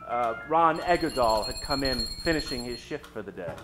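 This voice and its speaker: A man narrating a radio play in a theatrical, suspenseful manner with dramatic pauses. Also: mid-range voice, animated, over music.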